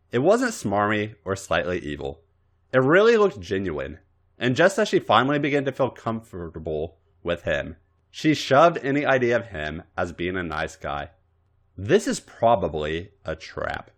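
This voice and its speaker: A man's voice: fairly low pitch, wildly swooping pitch, moderate pace. A man narrating fan fiction for a podcast in a dramatic, comic storytelling style.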